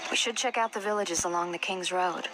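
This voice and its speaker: A woman's voice: fairly low pitch, a little fast, background noise, natural voice. A woman voicing an earnest, inquisitive young sorceress in a fantasy game cutscene, polite and composed. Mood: composed, concerned.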